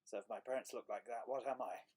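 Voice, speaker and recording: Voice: medium pitch, fairly even intonation, fast talker, a little mellow, slight background noise, close to an everyday voice. A storyteller voicing a friendly, curious young dragon telling its own story, earnest and wide-eyed, with dramatic emphasis. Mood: playful.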